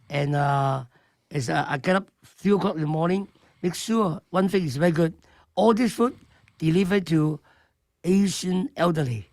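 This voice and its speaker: Older chef, gravelly. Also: male voice, fairly high pitch, no echo. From Hong Kong. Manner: broken English, animated and joking. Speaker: chef guest. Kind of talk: radio interview.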